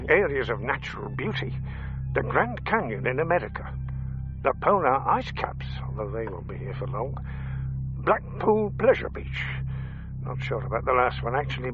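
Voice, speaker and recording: Earnest older alien dad, muffled. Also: male voice, mid-range voice. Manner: formal, measured report-giving with dry comic asides. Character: earnest alien agent posing as an ordinary human dad. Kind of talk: radio comedy drama monologue. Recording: dull band-limited recorded message over music.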